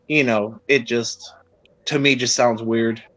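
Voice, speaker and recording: A man's voice: medium pitch, fairly even intonation, takes it slowly, a bit bright, no room sound. A man in his thirties, from the United States, chatting casually as a co-host and rambling with frequent restarts. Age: 30s. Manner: rambling, hesitant, many restarts. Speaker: co-host of a pet keepers' live show. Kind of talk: casual group chat.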